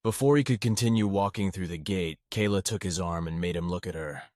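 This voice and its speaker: A man's voice: sits low, fairly quick, a little mellow, no echo, clean studio sound. A man reading scripted third-person fiction narration as an audiobook narrator.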